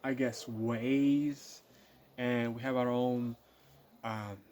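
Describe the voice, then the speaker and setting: A nineteen-year-old man speaks straight to his viewers at an everyday mid-range pitch. He rambles and circles back, stacking fillers and false starts as he thinks aloud. A faint hum of background noise sits under the voice. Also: steady tone, drawn-out words, darkish tone. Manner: rambling, many fillers and repeated restarts. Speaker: vlogger. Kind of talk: casual spoken monologue to viewers.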